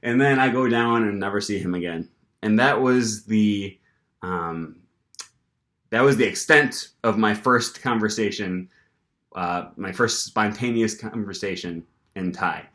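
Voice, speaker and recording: A young man vlogging casually, telling an animated story with lots of fillers and restarts. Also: fairly low pitch, measured pace. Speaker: language-learning vlogger.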